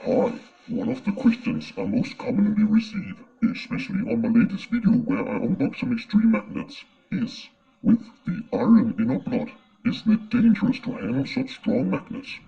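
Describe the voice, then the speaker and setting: Male explainer presenter, swooping high pitch through a voice changer, creaky, muffled lo-fi sound. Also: big pitch swings, moderate pace. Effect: pitch-shifting voice changer. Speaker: science video presenter. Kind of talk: scripted explainer narration.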